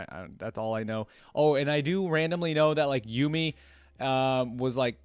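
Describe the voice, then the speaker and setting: Man, mid-pitched voice, crisp and clean, casual video host, unscripted, thinking aloud, chatting to viewers. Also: dry recording. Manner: rambling, thinks aloud, addresses viewers as "guys". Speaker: reaction video host, League of Legends fan.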